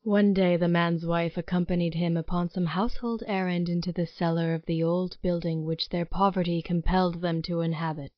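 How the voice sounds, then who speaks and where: Low-voiced woman narrating a dark tale. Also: fairly even intonation, fairly quick, few pauses, no room sound, dull audio. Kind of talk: scripted dramatic story narration.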